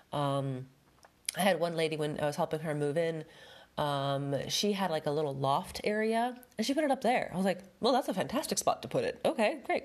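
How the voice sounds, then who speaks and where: Woman, low voice, stuffy nose, chatty, rambling, home decor podcast host. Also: darkish tone. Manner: chatty, rambling, many fillers. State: stuffed up with a head cold. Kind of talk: casual solo podcast talk.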